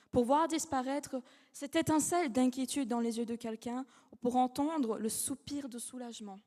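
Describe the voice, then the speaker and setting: A woman's voice: sits high, a little mellow. Young woman orating in a reverberant hall. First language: not French. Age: young adult. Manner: dramatic, measured delivery with rhetorical pauses. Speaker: law student giving a competition speech. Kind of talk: formal oratory speech. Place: large academic hall.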